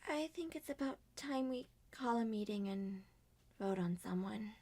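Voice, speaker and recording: A woman voicing a level-headed young male detective in an amateur roleplay drama, dry, deliberate and matter-of-fact. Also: medium pitch, expressive, dark tone. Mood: dry, measured.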